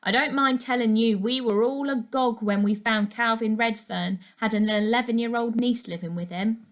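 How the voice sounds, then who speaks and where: A woman's voice: fairly high pitch, normal speed, lightly acted. A woman voicing a flamboyant, gossipy village busybody in her sixties for a children's story, chatty, effusive and dramatic. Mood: gushing, nosy.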